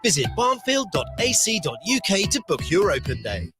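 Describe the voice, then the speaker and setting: Lively advert voice over music. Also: female voice, mid-range voice, normal speed. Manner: upbeat and bouncy. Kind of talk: upbeat radio advert.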